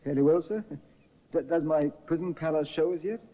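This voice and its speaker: A man's voice: a little high, fairly quick, natural voice. An actor playing an earnest, defensive young man accused of murder in a 1950s radio drama. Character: young male murder suspect, earnest and stubborn. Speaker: accused prisoner. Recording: reverberant, dull old radio broadcast.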